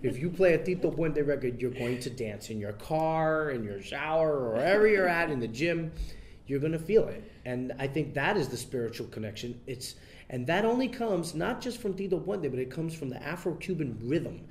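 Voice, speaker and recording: A man being interviewed, talking in a relaxed way with many fillers and restarts. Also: on the high side, normal speed, a little hiss or hum. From United States. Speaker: interview guest, son of a famous bandleader. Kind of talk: casual interview.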